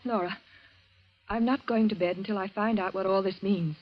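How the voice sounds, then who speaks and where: An actress playing a moody, high-strung, fearful young woman in 1940s radio drama, halting and repeating herself insistently. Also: fairly even intonation, lightly acted. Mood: anxious insistence. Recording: dull vintage radio recording.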